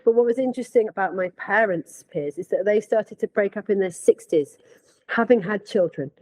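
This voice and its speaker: A woman's voice: mid-range voice, moderate pace, a little mellow, dry recording, low-quality recording. A woman from Manchester, a parent guest reflecting on her upbringing in a podcast discussion. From Manchester, England.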